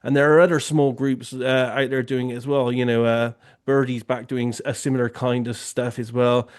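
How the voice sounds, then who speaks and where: A man's voice: mid-range voice, level tone, normal speed. Man, session host, casual talk, rambling, lots of fillers. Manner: rambling, frequent "you know" fillers. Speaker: remote viewing tasker and session host. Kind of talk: casual online Q&A discussion.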